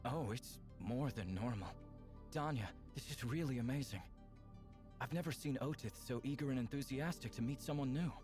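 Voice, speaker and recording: A man voicing a calm, reassuring sci-fi character in a visual novel, speaking earnestly with music playing behind him. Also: medium pitch, moderate pace, close to an everyday voice. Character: calm, reassuring man bonded to an alien symbiont.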